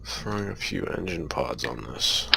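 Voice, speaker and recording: A man's voice: deep voice, animated, normal speed, gravelly, sharp tone, slight background noise. A man with a cold, sounding sniffly, casually thinking aloud as he talks through a game he is playing. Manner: thinking aloud while building and flying. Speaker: gameplay commentator. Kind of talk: casual gameplay commentary.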